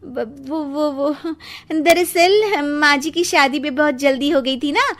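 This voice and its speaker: A woman voicing a young daughter-in-law in a cartoon story, protective and quick to protest in a pleading, explanatory way. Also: high-pitched, fairly bright tone, exaggerated voice. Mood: placating. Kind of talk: Hindi cartoon story voice acting.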